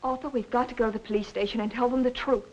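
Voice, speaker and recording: A woman acting an earnest, anguished wife in a 1940s film melodrama, measured and dramatic. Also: a little high, fairly even intonation, a little mellow, close to an everyday voice. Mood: pleading, earnest. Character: earnest, anguished married woman pleading for honesty. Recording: muffled, hissy old film soundtrack.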